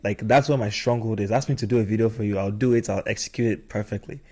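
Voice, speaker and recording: A man's voice: on the low side, fast, warm tone. A young adult man hosting a podcast in a casual conversational style. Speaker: podcast host.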